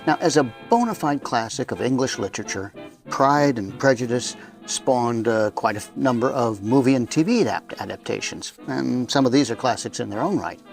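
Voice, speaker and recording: A man's voice: medium pitch, animated, normal speed, vocal fry, soft background music, low-quality recording. A man hosting with a chatty, semi-scripted introduction, wry and folksy, with small hesitations. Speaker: host.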